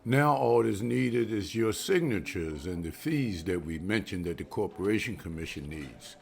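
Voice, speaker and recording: A man reading the part of a cautious, businesslike male bank official at a script table read, in a measured, guarded manner. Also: deep voice, expressive, vocal fry, a little hiss or hum.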